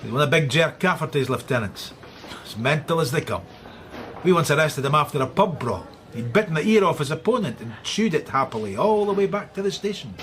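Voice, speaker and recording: A Scottish police inspector in a radio play. He is blunt and sardonic, pitched slightly high for a man and swinging in tone as he challenges people. A little noise sits behind the voice. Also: expressive, a little fast, fairly bright tone. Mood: dry briefing. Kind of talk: radio drama acting. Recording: faint background noise.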